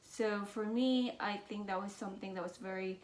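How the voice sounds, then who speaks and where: A woman's voice: mid-range voice, steady tone, normal speed, a little mellow. A woman from Vietnam with as her talks earnestly and reflectively to her channel, with many fillers and restarts. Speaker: business and mindset vlogger. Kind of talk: personal talk to camera. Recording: roomy echo.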